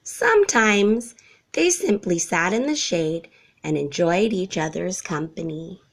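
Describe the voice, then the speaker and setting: Female storybook narrator for young children, expressive and varied in pitch. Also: a little slow. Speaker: storybook reader. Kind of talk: expressive children's read-aloud.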